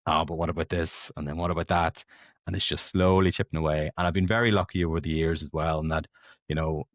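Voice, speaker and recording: Low-voiced Northern Irish man, chatty. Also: steady tone, fast, no echo. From Belfast, Northern Ireland. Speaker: podcast guest, amateur competitive runner. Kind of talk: casual conversational storytelling. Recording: dull lo-fi podcast audio.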